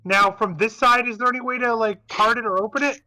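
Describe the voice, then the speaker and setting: Player voice, very bright and forceful, chatty, leads the group. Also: mid-range voice, fairly even intonation, fairly quick, rough recording. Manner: chatty and upbeat, leading the party. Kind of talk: casual tabletop play.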